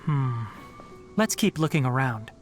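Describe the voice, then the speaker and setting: A woman's voice: very deep voice, animated, unhurried. A woman playing a thoughtful, observant investigator in a video game, musing over clues. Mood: pensive. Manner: deliberative, musing. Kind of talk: video game dialogue acting. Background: background music.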